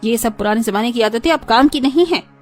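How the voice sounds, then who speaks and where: A snobbish modern mother-in-law in a cartoon who speaks with irritable dismissal in a fairly high voice, as a woman voices her. Faint music plays behind. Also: brisk, natural voice. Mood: irritated. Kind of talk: cartoon voice acting.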